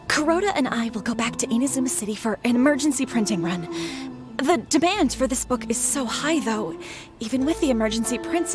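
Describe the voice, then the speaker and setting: A woman's voice: sits high, close to an everyday voice. A woman voicing a stressed, exasperated female editor in a video game. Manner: sighing, exasperated. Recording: game audio with music behind.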